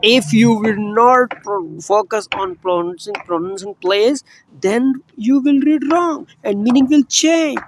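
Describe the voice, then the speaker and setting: A man whose first language is Urdu, teaching Quran pronunciation online in halting English full of fillers and restarts. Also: high voice, a little hiss or hum, low-quality recording. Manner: halting non-native English with many fillers. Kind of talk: online tajweed lesson.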